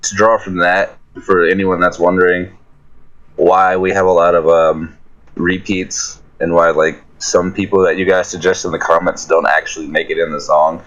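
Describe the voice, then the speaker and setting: Male panelist, low register, dry teasing chat, dull compressed recording. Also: little pitch movement, moderate pace, a bit bright. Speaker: musician panelist answering fan questions. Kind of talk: casual group chat.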